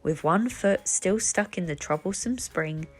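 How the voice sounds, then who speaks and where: A woman reading a children's book aloud as an expressive, deliberate storyteller. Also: fairly low pitch, faint music behind. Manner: animated, deliberate.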